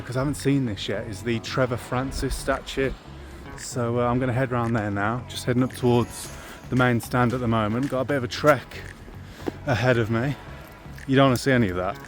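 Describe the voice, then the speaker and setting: A man's voice: mid-range voice, fairly quick, faint music behind. A young man, chatting casually and rambling to his phone camera outdoors around a football stadium. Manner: chatty, rambling, self-mocking. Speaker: football fan vlogger.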